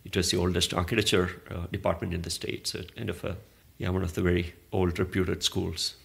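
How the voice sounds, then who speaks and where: Man, 40s, Malayalam speaker, very low voice, gravelly, thoughtful rambling interviewee. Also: level tone, fairly quick, a bit bright. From southern India. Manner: thoughtful, meandering, with many restarts. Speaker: interview guest, arts organizer. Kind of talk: reflective conversational interview.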